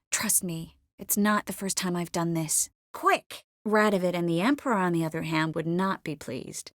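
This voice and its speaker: Woman doing a young fantasy game sorceress, caring but wry, passionate, intimate and expressive, mid pitch, bone dry studio sound. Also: no room sound, close to an everyday voice. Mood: soft, earnest, then animated, urgent, then measured, grave. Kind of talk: fantasy video game voice acting.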